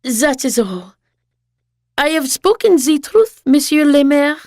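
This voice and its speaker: High-voiced wistful older wife played by a woman. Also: animated, takes it slowly, darkish tone, no echo, clean studio sound. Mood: earnest confession. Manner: earnest, confessional storytelling. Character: elderly French shopkeeper's wife, romantic, wistful, earnest. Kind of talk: dramatic monologue in radio play.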